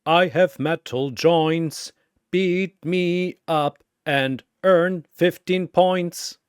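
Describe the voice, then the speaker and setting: A narrator playing a showy robotic cat party entertainer in an animated battle story. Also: medium pitch, drawn-out words, clean tone, no room sound, studio quality. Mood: showy, upbeat.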